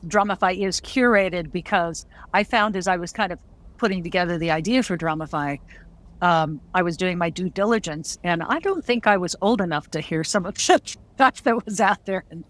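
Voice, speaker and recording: A woman's voice: on the low side, moderate pace. Chatty woman hosting an interview. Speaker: podcast host interviewing guests. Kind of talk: casual interview chat.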